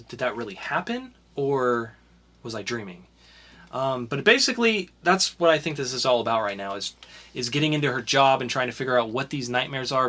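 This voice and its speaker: A man's voice: medium pitch, bright, forceful tone. A young man co-hosting a podcast and chatting casually about comics, rambling with lots of fillers and restarts. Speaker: podcast co-host reviewing comics. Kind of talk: casual chat.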